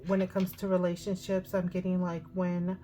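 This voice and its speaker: A woman with a hoarse voice giving a casual tarot card reading, thinking aloud as she goes. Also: fairly low pitch, monotone, normal speed, dark tone. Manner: chatty, thinking aloud with fillers. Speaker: tarot reader. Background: music.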